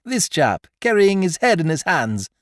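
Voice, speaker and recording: A man voicing an excitable young male amateur detective, brash and mocking as he shows off his discovery. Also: mid-range voice, unhurried, no echo, clean studio sound. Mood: exultant.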